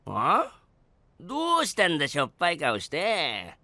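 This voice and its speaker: A man's voice: on the high side, wildly swooping pitch, unhurried, bright, forceful tone, dry recording, heavily acted. A man playing a cocky, boyish sidekick with brash, slangy speech in an anime-style audio drama. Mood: cheeky, loud.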